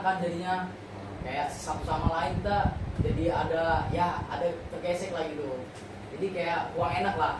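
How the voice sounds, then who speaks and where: A young man with a high voice talks quickly in an easygoing, agreeable way. He is recorded far from the mic in a strongly echoing room. Clear background noise sits under his voice. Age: young adult. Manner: easygoing, agreeable, lots of fillers. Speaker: university student co-host. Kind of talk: casual podcast chat.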